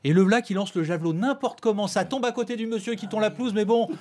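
A man's voice: high-pitched, fast, a little mellow. A man on a sports radio panel, telling an anecdote in a studio. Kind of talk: anecdotal storytelling. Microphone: studio desk microphone.

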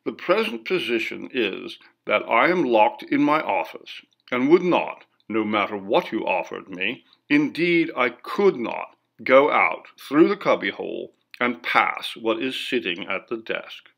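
A man reading a ghost story as scripted narration, in a measured, formal, dramatic first-person delivery. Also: medium pitch, big pitch swings, gravelly, fairly bright tone. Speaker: audiobook reader.

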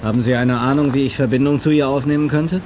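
A man's voice: mid-range voice, steady tone, quick pace, darkish tone, natural voice. A man playing a cocky, impatient hero in a radio play, with a brash, flippant manner. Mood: casual. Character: cocky, impatient male hero driver, easily irritated. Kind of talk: German radio play acting. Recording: noisy car and street ambience, cassette.

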